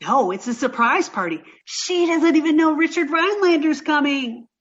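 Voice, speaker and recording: A woman's voice: high-pitched, animated, normal speed, a bit bright, lo-fi sound. An actress playing a sweet, naive, scatterbrained young girlfriend in 1940s radio sitcom style, doting and earnest. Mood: eager.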